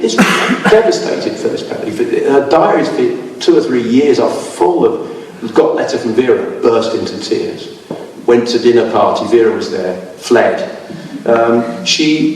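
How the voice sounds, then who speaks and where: A man's voice: mid-range voice, slight background noise. A man giving an informal slide lecture, rambling with many restarts and losing his voice. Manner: discursive, with many fillers and restarts. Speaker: speaker giving a public talk. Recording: echoey hall, from far off.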